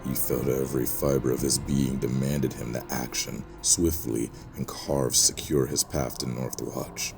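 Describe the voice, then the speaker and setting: A man giving solemn, measured, scripted narration for a fantasy audio drama. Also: extremely low pitch, fairly even intonation, gravelly. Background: music under the voice.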